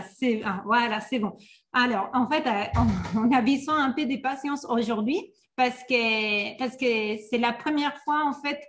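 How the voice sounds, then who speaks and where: A woman's voice: fairly high pitch, fairly bright tone. A woman agile coach presenting an online workshop, with many hesitations and restarts.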